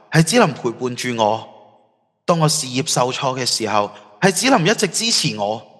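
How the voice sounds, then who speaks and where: A man's voice: on the high side, fairly bright tone. An actor playing a conflicted, remorseful young man in an audio drama, earnest and introspective, with a Hong Kong Cantonese accent. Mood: reflective. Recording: long hall-like reverb.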